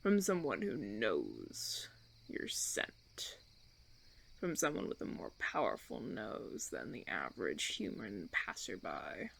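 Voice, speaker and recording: A man playing a smug, menacing vampire recruiter in an audio roleplay, with a gravelly, creaky voice and theatrical, taunting, drawn-out phrasing. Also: fairly low pitch, huge pitch swings, fairly bright tone, exaggerated voice. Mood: commanding, intense. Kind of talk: ASMR audio roleplay acting.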